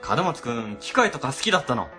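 An actor playing a chatty, easygoing government office boss in a Japanese radio drama, casual and explanatory. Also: male voice, mid-range voice, big pitch swings, quick pace, music in the background, natural voice. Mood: breezy, talkative.

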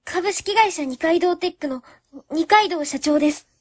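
Timid, very high-voiced young woman played by actress. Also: moderate pace, no room sound, clean studio sound. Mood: shy, flustered. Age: 20s. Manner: stammering, very polite, meek. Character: shy, timid young woman office worker. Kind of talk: Japanese web radio drama acting.

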